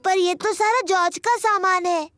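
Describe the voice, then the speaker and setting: A woman playing a cheerful, excitable little girl piglet in a children's cartoon dub. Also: extremely high pitch, normal speed, over music. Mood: eager.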